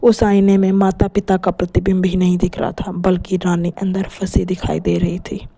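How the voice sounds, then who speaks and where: Mellow woman narrating a horror story. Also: fairly low pitch, completely flat delivery, moderate pace, creaky, slightly rough.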